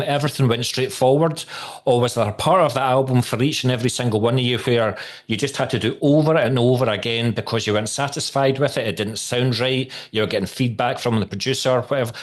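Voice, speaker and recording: Man asking rambling questions. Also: mid-range voice. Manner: rambling, friendly questions with many ums. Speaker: interviewer. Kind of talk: casual interview questions.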